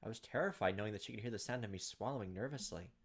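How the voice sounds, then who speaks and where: A man hosting a game video, reading visual novel text aloud with slow suspense and dropping into casual commentary. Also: lowish voice. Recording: clearly noisy home recording, clicks, insect sounds.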